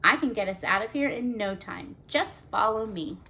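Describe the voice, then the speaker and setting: A woman voicing a sweet, sensitive young female manatee in a children's storybook, earnest and expressive. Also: mid-range voice, moderate pace. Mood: confident.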